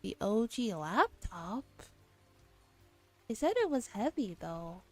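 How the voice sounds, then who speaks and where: A young woman streamer with a soft, breathy voice, reading a visual novel aloud in ASMR style and chatting playfully in casual slang. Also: mid-range voice, sing-song, slow. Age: young adult. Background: game music.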